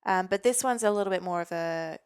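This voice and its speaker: A woman's voice: medium pitch, fairly quick, clean tone, no room sound, clean studio sound. A young woman from Norway, a physiotherapist guest on a podcast, chatting casually with lots of ums and easy laughs. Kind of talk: casual interview chat.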